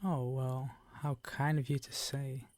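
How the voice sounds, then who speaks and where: A man voicing a suave, predatory vampire count in an intimate ASMR roleplay, speaking formally with drawn-out, condescending, teasing courtesy. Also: medium pitch, flat delivery, darkish tone, no room sound, lightly acted. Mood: flattering, teasing.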